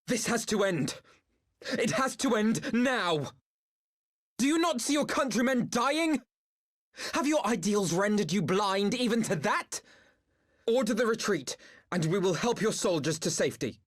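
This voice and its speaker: Young noble idealist in a fantasy game, earnest n formal, grave, mid pitch but really animated. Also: female voice. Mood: urgent, reproachful. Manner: formal, grave, measured diction. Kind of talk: dramatic fantasy game voice acting.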